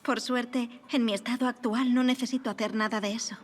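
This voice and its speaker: A woman's voice: fairly high pitch, fairly even intonation, a little fast, close to an everyday voice. A woman acting in an audio drama as a gracious, witty young aristocratic lady, poised and formally polite. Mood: wry.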